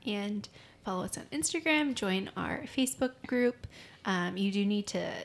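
Woman with a gravelly edge, chatty co-host. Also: medium pitch, expressive, normal speed. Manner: chatty, agreeable, many yeahs. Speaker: podcast co-host. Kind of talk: casual chat.

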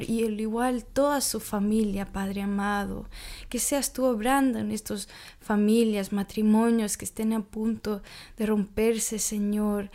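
A woman co-hosting a podcast, leading a spoken prayer with soft, repeated invocations. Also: mid-range voice. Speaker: podcast co-host.

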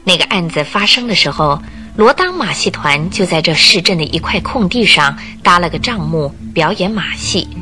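A woman reading dramatic scripted narration for a radio drama, expressive and suspenseful. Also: fairly low pitch, moderate pace. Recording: music bed under the narration.

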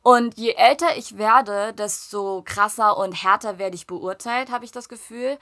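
A woman's voice: mid-range voice, unhurried. A young woman raised in Germany, chatting casually and telling stories with acted-out dialogue and frequent laughter. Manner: lively storytelling, acts out dialogue, laughs often. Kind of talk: casual chat.

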